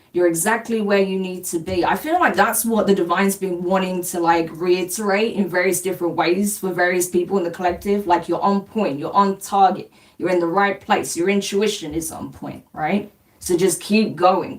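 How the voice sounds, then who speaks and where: Lower-voiced British woman, distant mic. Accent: London English with a slight New Zealand twang. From England. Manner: reassuring, repeats "okay?" and "right?" to viewers. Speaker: spiritual reader. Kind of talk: live-stream collective tarot reading.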